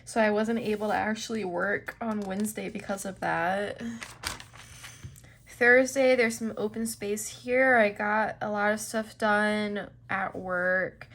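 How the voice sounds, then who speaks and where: A young woman talks through her weekly planner in a slow, easygoing way. Her voice is a little stuffy, and her pitch sits mid-range. She rambles comfortably, with plenty of "um" and "like.". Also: a bit bright. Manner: chatty, unhurried, with fillers and small laughs. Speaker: planner video creator. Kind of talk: casual planner flip-through narration.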